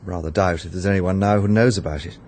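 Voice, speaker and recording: An actor playing a cautious, reserved British gentleman in an old-fashioned radio mystery, husky, understated and hesitant. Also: deep voice, steady tone, quick pace, slight background noise. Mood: reserved, uneasy. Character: cautious, reserved educated gentleman investigator with doubts. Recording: muffled vintage radio drama recording.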